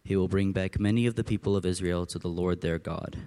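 Man, deep pitch, scripture reading, church reader. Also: steady tone, fairly quick, mellow.

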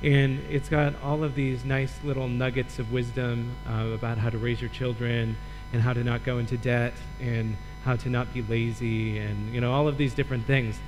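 A man from Michigan, a guest preacher and Old Testament professor, teaching a sermon in a relaxed, lightly joking way. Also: medium pitch, poor audio.

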